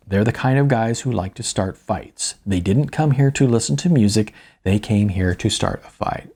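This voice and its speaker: A man with a fairly low voice and a strong gravelly creak reads his own fiction aloud. His delivery is wry and understated, somewhere between audiobook narration and informal talk to the listener. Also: dark tone. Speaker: author reading his own novella. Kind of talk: first-person audiobook narration with chatty asides.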